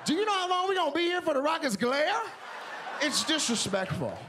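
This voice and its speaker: Loud, high-voiced stand-up comic from Seattle. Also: male voice, fairly quick, noisy background. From Seattle, Washington. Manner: loud, animated storytelling with shouted punchlines. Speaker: stand-up comedian. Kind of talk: stand-up comedy. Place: large TV studio stage with live audience. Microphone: handheld mic.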